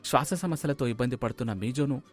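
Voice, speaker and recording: Male storyteller over soft music. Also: mid-range voice, fast talker. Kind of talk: scripted story narration.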